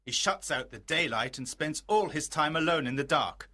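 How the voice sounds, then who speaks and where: A man's voice: a little high, expressive, normal speed, sharp tone. A man playing a dignified older lord in a storybook, a troubled father with a grave, concerned manner. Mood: worried. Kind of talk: storybook dramatization.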